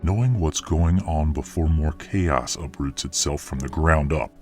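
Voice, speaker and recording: Deep-voiced, commanding fantasy lord played by a man. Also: unhurried, darkish tone. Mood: stern, commanding. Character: commanding, stern male fantasy lord presiding over council. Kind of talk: fantasy audio drama acting.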